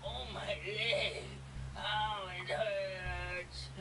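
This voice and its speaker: A woman's voice: medium pitch, fairly even intonation, distant mic, noisy background. A woman playing a childlike, simple-minded male helper in an audio drama, naive and whiny. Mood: hurt, curious. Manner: slow, naive, whimpering when scared.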